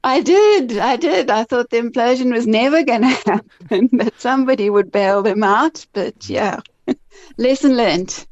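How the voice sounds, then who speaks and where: A South African woman, an investment officer, answering interview questions in a relaxed, self-deprecating way and laughing easily. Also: fairly high pitch, unhurried, no room sound, lo-fi sound.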